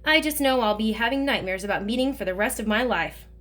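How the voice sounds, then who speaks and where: A woman's voice: fairly high pitch, expressive, fast, fairly bright tone. A woman voicing a teasing folksy old man. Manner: joking, folksy, talkative. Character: teasing kindly older male driver.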